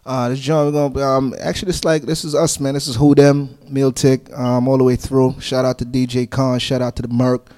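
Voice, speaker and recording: A man's voice: medium pitch, level tone, brisk, hardly any pauses, warm tone. A man from Brooklyn, a rapper guesting in a studio, talking confidently in hype street slang. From Brooklyn, New York.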